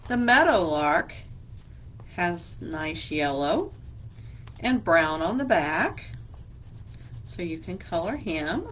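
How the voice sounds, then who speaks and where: Slow, patient woman librarian for children. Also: lowish voice, sing-song, fairly bright tone, a little hiss or hum. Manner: slow, patient, teacherly with simple questions to kids. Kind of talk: children's story time craft lesson.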